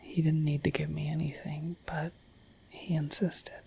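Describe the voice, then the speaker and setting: A woman voicing a shy, friendly dragon in a breathy whispered ASMR roleplay, rambling gently and hesitantly to the listener. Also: low-pitched, flat delivery, unhurried, slight background noise. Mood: musing, gentle. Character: shy, friendly, dorky dragon in humanoid form.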